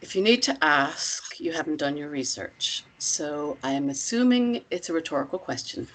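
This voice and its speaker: A woman playing an enigmatic, imperious cult leader in a screenplay read, speaking with slow, commanding deliberation. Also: low voice, animated, fairly bright tone, poor audio. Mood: knowing, imperious. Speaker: actor in a screenplay table read.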